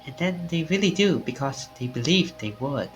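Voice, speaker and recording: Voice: mid-range voice, lively intonation, moderate pace, faint music behind. A streamer reading a visual novel's dialogue aloud, swinging their voice up and down between lines.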